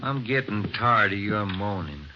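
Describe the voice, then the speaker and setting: An actor playing a patient, footsore police detective in a vintage radio drama, laconic and dryly polite. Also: male voice, on the low side, lively intonation, unhurried. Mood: dry, fed up. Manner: laconic, dry, polite to strangers. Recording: muffled, very noisy old radio recording.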